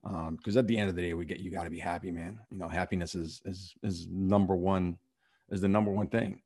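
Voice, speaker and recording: A man from Syria, a podcast host, answering in a halting, reflective way, with many fillers and restarts. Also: low voice, steady tone, a little mellow, slightly rough. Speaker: podcast host and real estate investor. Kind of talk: conversational interview.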